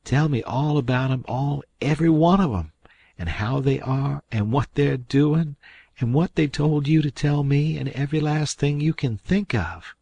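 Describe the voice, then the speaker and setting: A man voicing an excitable, motherly farm wife who fusses anxiously in a high, fluttering voice. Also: moderate pace, creaky, breathy edge, no echo, highs cut off. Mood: eager.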